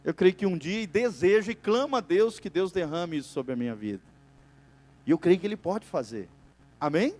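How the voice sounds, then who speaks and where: High-voiced Brazilian pastor preaching. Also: male voice, animated, normal speed. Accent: Brazilian Portuguese. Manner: preaching with call-and-response "amém?" to the congregation; swings between loud emphatic bursts and softer quiet stretches. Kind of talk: sermon. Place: church.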